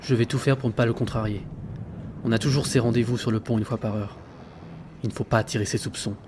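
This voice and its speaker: An actor playing a frightened, isolated seaman in an audio drama, halting and anxious, repeating himself. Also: mid-range voice, steady tone, background noise, lightly acted. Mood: weary dread. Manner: halting, anxious, breathy repetitions. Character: frightened, isolated young seaman keeping a logbook.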